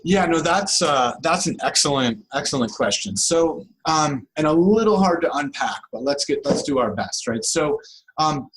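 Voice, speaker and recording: A man's voice: a little high, expressive, normal speed, a bit bright, low-quality recording. Man, conversational academic explainer. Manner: discursive, explains step by step, checks in with listener. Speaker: guest law professor.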